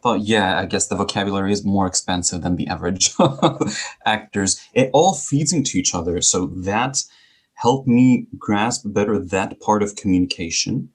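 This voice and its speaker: A man's voice: fairly low pitch, moderate pace, slightly rough. A man who is a native speaker of Greek and German, talking articulately and wryly as a coach being interviewed. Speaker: podcast guest, speaking coach. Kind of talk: conversational interview.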